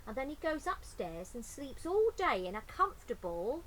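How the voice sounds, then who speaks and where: A woman's voice: high-pitched, very animated, normal speed, a bit bright, poor audio. A woman speaking informally and expressively as a storyteller for children, recorded inside a greenhouse. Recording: clear ambient background noise.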